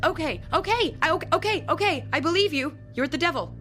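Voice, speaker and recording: An actress playing a distraught married woman confessing a strange experience to her psychiatrist in a radio drama, anxious and halting. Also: extremely high pitch, animated, a bit bright, soft background music. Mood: frightened.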